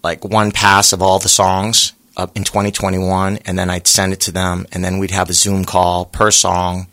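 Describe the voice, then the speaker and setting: A singer being interviewed, talking in a relaxed, rambling way with lots of pauses and fillers. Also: male voice, low-pitched. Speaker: singer guest. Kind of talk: casual interview chat.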